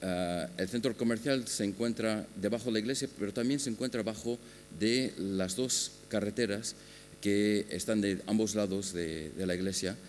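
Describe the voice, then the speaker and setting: A man giving a conference talk on a panel, speaking haltingly with many filler sounds and restarts. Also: lowish voice. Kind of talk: conference presentation. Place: large conference hall. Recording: long hall reverb with faint hum.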